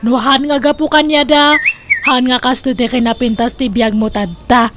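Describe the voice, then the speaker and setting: A woman's voice: sits high, fairly even intonation, normal speed, noisy background, natural voice. A woman playing a nagging, complaining relative in a comedic Ilocano radio drama, speaking with an Ilocano accent in a dramatic, grumbling way.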